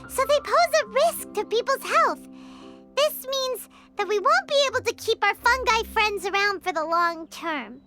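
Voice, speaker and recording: A tiny, bubbly fairy companion from a video game, extremely high and childlike. The delivery is cheeky and swoops up and down, with prominent music behind it. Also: female voice. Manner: bubbly, refers to herself in third person. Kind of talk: animated video game voice acting.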